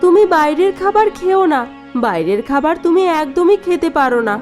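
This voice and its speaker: A woman voicing a gentle young new bride in a cartoon story, speaking softly and politely. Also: extremely high pitch, very animated, fairly quick, music in the background. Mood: dutiful. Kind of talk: cartoon voice acting.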